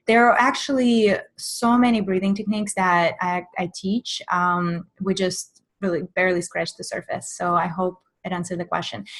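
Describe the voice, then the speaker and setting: Woman, Russian accent, native Russian speaker, mindfulness coach, direct practical tone, medium pitch, webinar Q&A. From Far East of Russia. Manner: direct, practical advice-giving. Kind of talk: instructive webinar Q&A.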